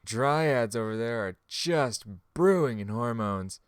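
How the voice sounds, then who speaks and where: A man's voice: mid-range voice, lively intonation, slow pace, clear, resonant tone, no echo, clean studio sound. A man playing a frank, easygoing guild medic in a fantasy game audiobook. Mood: breezy, joking.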